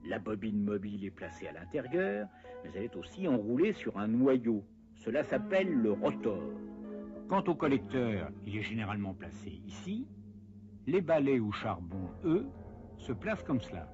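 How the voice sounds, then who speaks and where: A man's voice: fairly low pitch, big pitch swings, moderate pace, a little mellow, muffled recording, soft background music. A man narrating a scripted educational cartoon in a wry, conversational way, explaining step by step with playful asides.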